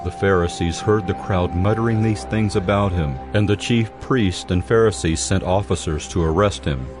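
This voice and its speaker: A man reading scripted narration for a dramatized audio Bible in a measured, solemn way. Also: deep voice. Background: music.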